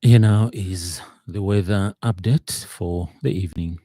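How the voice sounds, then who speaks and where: Deep-voiced man reading radio news. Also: warm tone, dry recording, studio quality. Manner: measured, formal delivery. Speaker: newsreader. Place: radio studio. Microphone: studio microphone.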